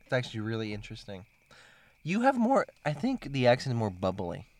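A man's voice: medium pitch, wildly swooping pitch, a little fast, a little mellow, no echo. Joking man host in casual chat. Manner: joking, playful storyteller who laughs at his own jokes.

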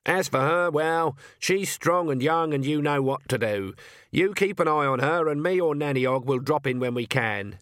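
Man doing stern old witch lady, blunt n firm, higher voice, dry audiobook sound. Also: moderate pace, fairly bright tone, dry recording, close to an everyday voice. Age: older. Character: Granny Weatherwax, stern older woman witch. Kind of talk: audiobook character voice.

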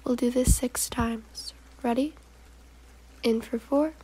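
A woman guiding a meditation in a soft, breathy, partly whispery voice, giving calm, gentle instructions in short, measured phrases. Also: fairly high pitch, poor audio. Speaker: guided meditation host. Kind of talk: slow, soothing guided meditation narration.

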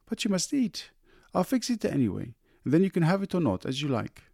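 A man voicing a devoted, anxious housewife who fusses softly and eagerly over her husband. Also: on the high side, very animated, brisk, vocal fry, no room sound, studio quality, pitched above the performer's own voice, full character voice. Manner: soft, eager, fussing.

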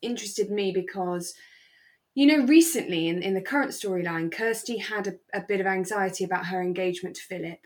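A woman's voice: medium pitch, a little fast. A woman co-hosting a podcast in casual, conversational chat. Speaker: podcast co-host. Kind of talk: casual chat about a radio drama.

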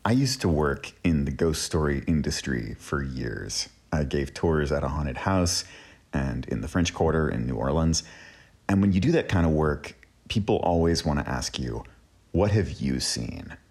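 A man with an extremely low, husky voice tells a personal story as the host. His delivery is conversational and casual, like someone recounting his own experience. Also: animated, a little slow, darkish tone.

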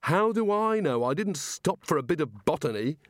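A man's voice: fairly high pitch, sing-song, normal speed, dry recording, clean studio sound, voice pushed up. A man voicing a hapless, anxious male prisoner in a comic audiobook, fretful, hesitant and sardonic. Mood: weary, irritated.

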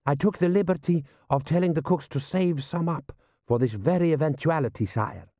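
A man voicing a dry, deferential army general with a measured, courteous manner in an audiobook. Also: on the high side, dark tone, no room sound, pitched above the performer's own voice, lightly acted. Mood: drily deferential.

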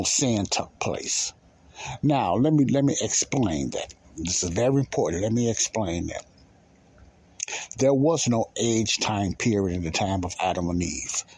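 Husky, low-voiced man preaching informally. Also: darkish tone. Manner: emphatic, repetitive, asks and answers own questions. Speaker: preacher and Bible teacher. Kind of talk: informal Bible teaching sermon.

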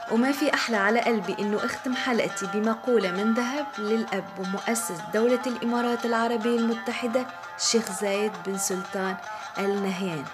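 A woman hosting a show, cheerful and quick, with music behind her. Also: on the high side, fairly even intonation. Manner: cheerful, rapid delivery. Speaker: show host. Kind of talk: upbeat show hosting in Arabic.

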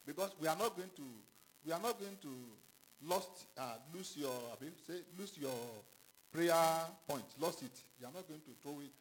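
Pastor guy preaching, bit high voice, keeps asking the crowd "are you getting me", stops and restarts a lot. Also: slight background noise, poor audio. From Ghana. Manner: halting, repeats himself, calls out questions to the congregation. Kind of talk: sermon.

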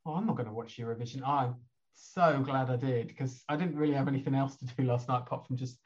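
Hoarse man, rambling host. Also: medium pitch, fast talker, a little mellow, highs cut off. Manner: rambling, chatty, many fillers and restarts. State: hoarse from a cold. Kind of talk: casual chat on an online talk show.

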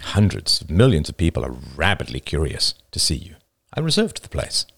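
A man's voice: extremely low pitch, huge pitch swings, a little fast, gravelly, fairly bright tone, breathy edge, full character voice. A man voicing a genial, eager companion who talks with breezy enthusiasm.

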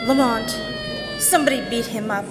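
A woman's voice: on the high side, fairly quick, close to an everyday voice. A woman playing a sympathetic, dismayed lady sleuth's companion in a live radio drama. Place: church hall stage. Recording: echoey hall, crowd noise behind.

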